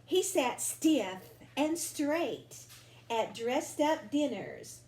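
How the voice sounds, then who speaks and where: A woman reading a children's picture book aloud expressively, drawing out words with deliberate, dramatic phrasing. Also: sits high, animated, a little mellow. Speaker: storybook reader. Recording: roomy home recording with faint noise.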